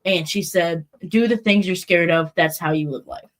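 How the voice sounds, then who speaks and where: A woman's voice: lowish voice, moderate pace. A young adult woman telling stories in a casual, playful chat.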